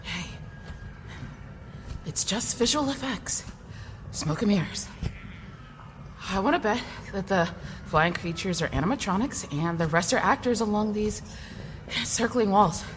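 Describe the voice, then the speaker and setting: A woman's voice: mid-range voice, expressive, a little slow, pauses often, lightly acted. An actress playing a skeptical young woman who speaks in a dismissive, matter-of-fact way. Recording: reverb with background noise.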